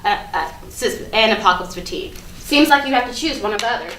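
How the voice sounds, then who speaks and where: A woman's voice: fairly high pitch, animated, fast, fairly bright tone. A woman acting an exasperated young female best friend, sarcastic and emphatic, in a staged reading. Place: live staged reading in a room. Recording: echoey room, distant mic, faint noise.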